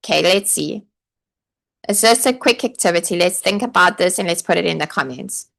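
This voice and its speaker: A woman's voice: on the low side, animated, moderate pace, creaky, fairly bright tone. A woman coaching aspiring online teachers in a live stream, chatty and encouraging, with many "like" and "okay" fillers. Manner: chatty, encouraging, reads viewer comments aloud. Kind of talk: live online training session.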